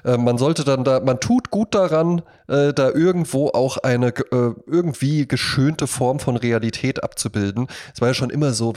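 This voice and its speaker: A man's voice: medium pitch, darkish tone. Casually chatting man, rambling storyteller. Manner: rambling, anecdotal, acts out little imagined quotes. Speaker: podcast co-host. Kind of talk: casual conversational podcast chat.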